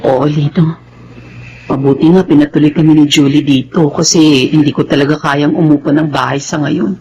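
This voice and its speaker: An actress playing a soft-hearted, grateful mother in a Tagalog radio drama. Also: low voice, steady tone, moderate pace, highs cut off, a little hiss or hum. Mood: hesitant. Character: grateful, soft-hearted widowed mother remarried for her children.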